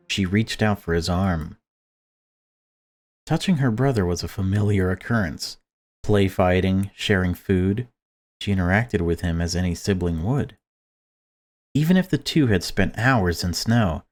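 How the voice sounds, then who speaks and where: A man reading a visual novel aloud as a let's player, breaking off into casual commentary. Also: low voice.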